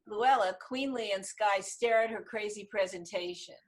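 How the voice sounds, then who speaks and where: A woman's voice: medium pitch, moderate pace. A woman narrating the stage directions of a screenplay in a scripted table read over a video call. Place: online video call reading.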